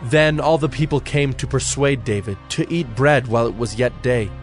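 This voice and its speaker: A man narrating dramatized scripture from a script in a measured, formal manner. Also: mid-range voice. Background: music.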